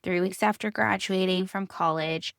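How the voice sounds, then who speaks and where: A woman's voice: mid-range voice, steady tone, normal speed, creaky, fairly bright tone, dry recording, studio quality. A woman in her thirties with an American accent, talking candidly and emphatically as a solo podcast host. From United States (California), born in Hungary. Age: 30s. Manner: conversational, emphatic, repeats phrases for stress.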